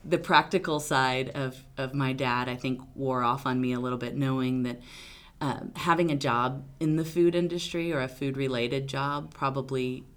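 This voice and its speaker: A woman attorney from Arkansas, answering interview questions in a loud, outgoing, talkative way. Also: very deep voice, steady tone, moderate pace, few pauses. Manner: loud, big personality, talkative. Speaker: attorney guest.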